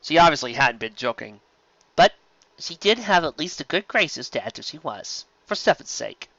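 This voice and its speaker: A woman reading scripted fan fiction aloud as an expressive narrator. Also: sits low, moderate pace, gravelly, dry recording. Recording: slightly dull, lo-fi recording.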